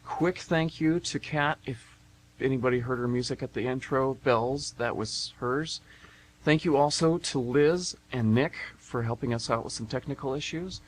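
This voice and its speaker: A man's voice: medium pitch, normal speed, rough recording. A man hosting a radio theatre show, giving casual sign-off announcements. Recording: hissy, humming amateur radio broadcast.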